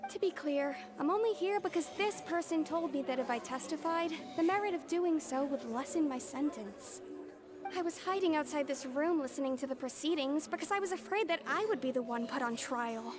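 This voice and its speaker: A woman's voice: sits high, animated, brisk, music in the background. A woman voicing a sheepish young female culprit in a video game, hesitant and apologetic. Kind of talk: dramatic video game voice acting.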